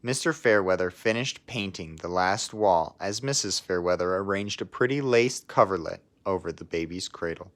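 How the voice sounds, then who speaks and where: Male storybook reader for young children, lower-pitched, expressive read-aloud delivery. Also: moderate pace, hardly any pauses. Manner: expressive, with asides to listeners.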